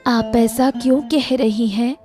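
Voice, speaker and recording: A woman's voice: on the high side, fairly even intonation, slow pace, warm tone. A woman voicing a polite, earnest young village woman in a Hindi cartoon moral story. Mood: earnest, reassuring. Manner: respectful, patient, reassuring. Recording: music bed under the voice.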